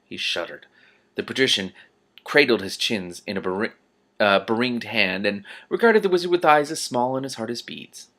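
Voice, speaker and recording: A man's voice: lowish voice, animated, moderate pace, a bit bright. A man reading a fantasy novel aloud expressively, breaking off for casual reactions.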